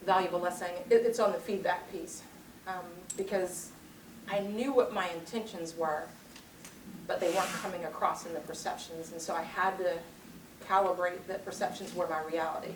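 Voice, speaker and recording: A woman's voice: lowish voice, steady tone, normal speed. A middle-aged woman giving a motivational keynote and answering questions in a confident, emphatic, rhythmic way. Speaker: keynote speaker. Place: large conference hall. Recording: roomy hall sound, distant mic, noisy.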